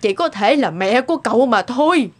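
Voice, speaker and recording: A woman's voice: on the high side, animated, a little fast. A woman voicing an earnest, emotional elderly woman in a cartoon family drama. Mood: reproachful. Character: earnest, outspoken elderly neighbour and gentle old mother. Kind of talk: animated drama voice acting.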